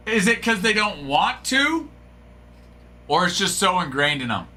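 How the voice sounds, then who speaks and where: A man's voice: high voice, big pitch swings, slow pace, sharp tone. A middle-aged man hosting a live card break, chatting casually and rambling to his viewers. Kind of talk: casual live-stream chat.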